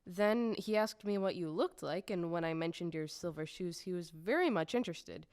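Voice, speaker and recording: A woman's voice: on the low side, normal speed, darkish tone, no room sound, studio quality, lightly acted. A woman playing an officious little gatekeeper of a magical city in an audio play, formal, ceremonious and emphatic. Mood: confiding, formal. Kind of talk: stage-style audio drama acting.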